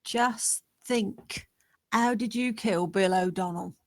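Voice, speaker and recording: A woman playing a patient, bemused detective in a comedy table read, measured and a little hesitant. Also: mid-range voice. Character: patient, bemused police detective questioning suspects.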